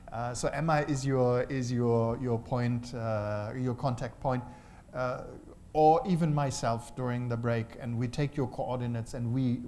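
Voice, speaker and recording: A man's voice: mid-range voice, a little mellow, slight background noise. A man, an economist, answering questions at a conference panel, thoughtful and restarting his phrases often. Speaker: economist presenting a report. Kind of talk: panel discussion remarks. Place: conference hall.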